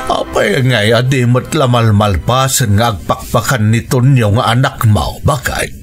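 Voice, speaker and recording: An actor in a radio drama playing an exasperated male relative, with emphatic, drawn-out exclamations. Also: medium pitch, over loud music, lightly acted.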